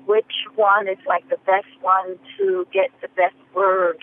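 Female radio caller, unsure and halting, phone-line sound. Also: medium pitch, big pitch swings. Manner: hesitant, searching for words. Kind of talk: phone call to radio show. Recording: phone-line call with faint noise.

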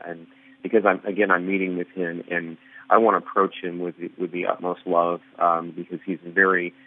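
Hesitant low-voiced male caller, tinny phone. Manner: hesitant, halting, many fillers. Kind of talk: call-in question.

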